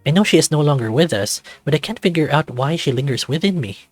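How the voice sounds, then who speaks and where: Guy playing a moody guarded man in a visual novel, dry, a bit raspy. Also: fairly high pitch, lively intonation, fast talker, no echo, faint music behind. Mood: brooding. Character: Lucas, troubled, sardonic, guarded man. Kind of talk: visual novel character acting.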